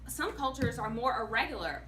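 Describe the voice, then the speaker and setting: An animated woman, an actor and singer, giving a prepared speech to a club audience in a meeting room. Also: fairly high pitch, a little fast. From United States. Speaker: public speaker, actor and singer. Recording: echoey room, mic far off, faint noise.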